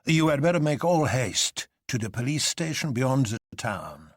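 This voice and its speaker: A man voicing a sober, courteous elderly French colonel in a measured, formal and deliberate manner. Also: mid-range voice, big pitch swings, no echo, clean studio sound. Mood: matter-of-fact.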